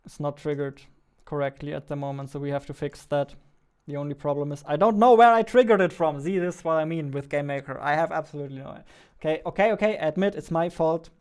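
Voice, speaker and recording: A man's voice: a little high, quick pace, few pauses, a little mellow, smooth. A man in his twenties giving casual commentary as he thinks aloud while working as a game developer. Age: 20s. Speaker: game developer, video host. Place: small indoor room at a computer.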